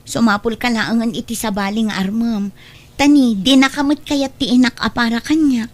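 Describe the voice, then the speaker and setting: A woman's voice: fairly high pitch, steady tone, normal speed, lightly acted. A woman with an Ilocano accent acting a dutiful, apologetic young woman in an audio drama. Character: apologetic, dutiful young woman turning away her suitor.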